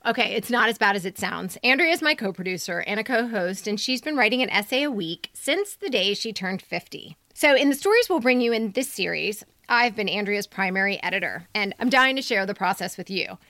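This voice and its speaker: A woman's voice: mid-range voice, expressive, fairly quick, few pauses, pushed and bright, dry recording. A woman podcast host and editor giving a conversational introduction to a show.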